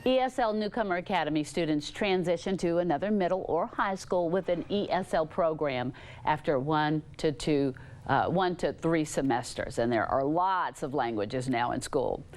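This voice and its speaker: A woman anchor reading scripted television news stories in a measured, clear delivery. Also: low voice, animated, a little mellow.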